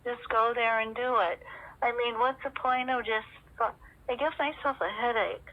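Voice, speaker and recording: A woman's voice: on the high side, fairly even intonation, moderate pace. A middle-aged woman talking casually on a recorded phone call, rambling and hesitant as she goes. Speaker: caller in a personal phone conversation. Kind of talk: casual private phone chat. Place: telephone call. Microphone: telephone.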